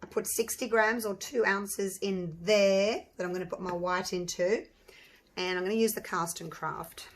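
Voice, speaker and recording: A slightly hoarse woman hosting a casual crafting tutorial, chatting and thinking aloud with lots of ums and restarts. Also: mid-range voice, steady tone, normal speed. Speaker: resin artist and channel host.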